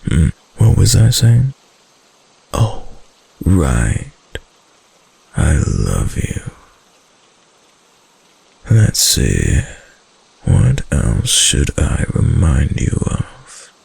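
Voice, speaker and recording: A man playing a doting, possessive kitsune husband in an intimate roleplay ASMR, with a soft, husky, lingering murmur. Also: huge pitch swings, extremely slow, long pauses, exaggerated voice. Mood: sweet, playful. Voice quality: very deep, husky, gravelly.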